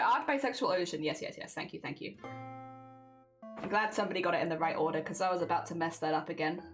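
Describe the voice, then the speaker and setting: A woman's voice: a little high, fairly bright tone. Wheezy woman chatting with stream viewers. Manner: chatty, greets and answers chat viewers, laughs often. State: wheezy from asthma and a cold. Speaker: livestreamer. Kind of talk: casual livestream chat while embroidering.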